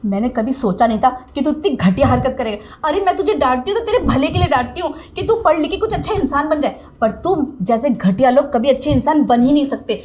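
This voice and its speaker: A woman's voice: a little high, lively intonation, fairly quick, darkish tone, natural voice. A woman playing a stern, sarcastic female tutor in an acted short drama. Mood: angry, threatening.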